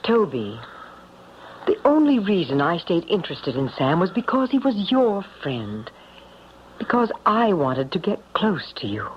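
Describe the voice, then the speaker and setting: An actress playing a seductive, scheming femme fatale in a radio drama, cooing and coaxing with false tenderness. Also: female voice, fairly low pitch, very animated, measured pace. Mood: coaxing, defensive. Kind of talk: old-time radio drama acting. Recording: muffled, noisy radio drama recording.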